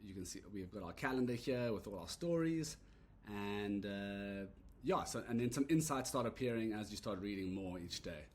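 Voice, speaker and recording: A man giving a relaxed, rambling walkthrough of an app he built, full of "uh" and "so yeah" fillers. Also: fairly low pitch, normal speed, slight background noise. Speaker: app creator demoing his product. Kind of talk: casual screen-recorded product demo. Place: at a computer recording a screen demo.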